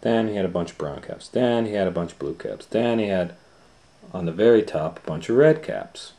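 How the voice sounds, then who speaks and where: A man's voice: lowish voice, animated, moderate pace, warm tone. A man reading a picture book aloud to children as storytime host, with conversational asides to the listeners.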